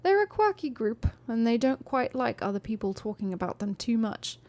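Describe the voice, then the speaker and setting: A stern middle-aged baron of a medieval castle gives orders in a calm, measured manner, as a woman reads him aloud. The voice sits fairly high and is close to the reader's own. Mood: gently commanding.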